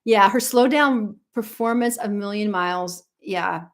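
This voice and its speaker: A woman's voice: medium pitch, unhurried. A middle-aged American woman, a vocal coach, chatting casually on a livestream and reading out viewer comments, rambling with lots of ums and restarts. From United States.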